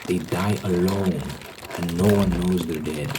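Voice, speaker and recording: A man with a low voice talks through his opinions in an unscripted ASMR ramble. He explains step by step and circles back to repeat points for emphasis. There is clear noise behind him. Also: fairly even intonation, measured pace. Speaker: host of a rambling ASMR channel.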